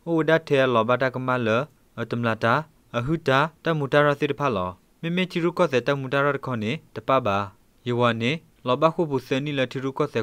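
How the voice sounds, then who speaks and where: A man's voice: medium pitch, a bit bright, clean tone, no echo. A man presenting the news, reading from a script as a radio newsreader.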